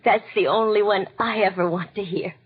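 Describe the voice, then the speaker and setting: An actress playing an anguished, forgiving young wife and mother in 1940s radio drama, husky and breathy. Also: medium pitch, fairly even intonation, normal speed, lightly acted. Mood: relieved, tender. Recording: muffled 1940s radio recording.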